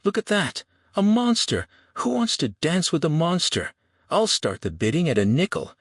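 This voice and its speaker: A man's voice: a little high, huge pitch swings, moderate pace, husky, no room sound, studio quality, pitched above the performer's own voice, heavily acted. A man voicing a cruel, fleshy Old West saloon owner in a storybook, sneering and taunting his victim. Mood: jeering, rowdy. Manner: taunting, shouting, hissing.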